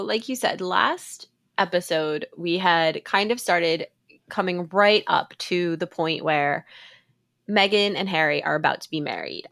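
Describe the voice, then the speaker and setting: Woman in her thirties, podcast co-host with a head cold, fairly low pitch, close dry mic, chatty with lots of fillers. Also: a bit bright, dry recording. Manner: chatty, laughs often, many fillers. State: congested with a cold. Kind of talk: casual podcast chat.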